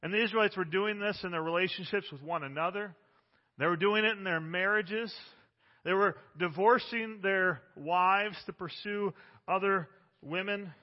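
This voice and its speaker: A man in his forties preaching a sermon as pastor, loud and emphatic, with frequent restarts, recorded on a microphone in a church. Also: high voice, normal speed. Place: church sanctuary. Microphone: handheld or stand microphone. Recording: dull sound with long hall reverb.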